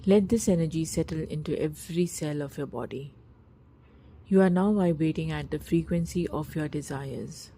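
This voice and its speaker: A woman narrating a scripted guided meditation in a soft, breathy, husky voice, with a slow, soothing, hushed delivery and long pauses. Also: low voice, a little hiss or hum.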